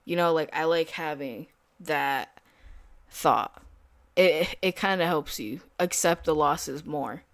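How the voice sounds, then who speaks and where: A woman's voice: low voice, takes it slowly, fairly bright tone, no room sound, studio quality. A young woman chatting casually with viewers while trading live, full of fillers and slang. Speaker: day trader streaming live. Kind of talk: casual live-stream chat.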